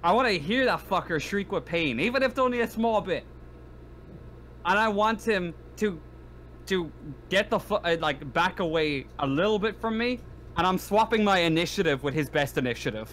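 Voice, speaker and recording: A man playing a tabletop game, chatting casually in an animated, sweary way. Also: high voice, normal speed, a little hiss or hum. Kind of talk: casual game chat over online session.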